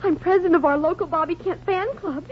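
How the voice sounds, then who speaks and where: Very high-pitched, theatrical teenage girl. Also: quick pace. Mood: starstruck. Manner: breathless gushing, melodramatic. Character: gushing, starstruck teenage girl, excitable and theatrical. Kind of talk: 1940s radio comedy acting. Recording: muffled, noisy 1940s radio recording.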